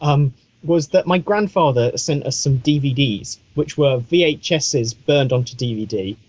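A man's voice: mid-range voice, measured pace, a little mellow, no room sound, highs cut off. A man chatting casually as a podcast co-host, with a wry, self-mocking and discursive way of talking.

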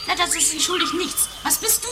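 A piercingly high voice, an imperious, suspicious sister in a homemade comedy radio play. She snaps scornfully, heard far from the microphone. Heavy noise covers the old recording. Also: a little fast. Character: haughty, hostile, suspicious sister from outer space. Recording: very noisy, distant homemade 1980s recording.

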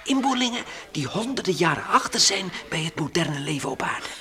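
An actor playing a brave, level-headed space adventurer in a Dutch radio play, hearty, reassuring and gently scolding. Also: male voice, sits high, big pitch swings, a little fast, full character voice. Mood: awed, excited.

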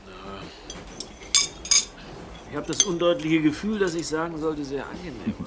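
A man's voice: fairly high pitch, big pitch swings, measured pace, very noisy. A man playing a world-weary, hard-drinking, self-mocking character who narrates and speaks in a noir-like radio drama. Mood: hungover, wry.

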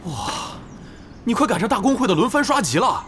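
Man playing very expressive gamer, noisy backdrop. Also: high-pitched, brisk. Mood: amazed. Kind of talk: audio drama acting.

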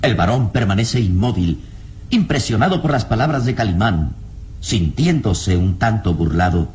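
A man's voice: on the low side, lively intonation, moderate pace, darkish tone. A man narrating a radio serial in a dramatic, solemn, suspenseful scripted style.

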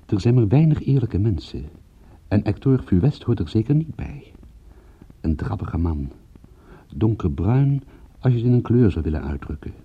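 Cynical private eye narrating a radio thriller: deep, very husky, deadpan, slightly muffled old recording. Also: male voice, moderate pace, pauses often, exaggerated voice. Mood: wry, matter-of-fact. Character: world-weary, cynical male private detective recounting a case. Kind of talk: scripted first-person detective radio-play narration.

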